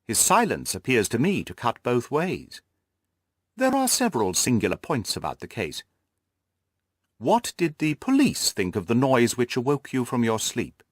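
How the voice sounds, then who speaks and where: A composed storybook detective voiced by a man, incisive and analytical. He questions precisely and emphatically, with pitch that rises and falls a great deal. The recording is close and dry. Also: huge pitch swings, normal speed, dry recording. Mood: sceptical. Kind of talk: audiobook character acting.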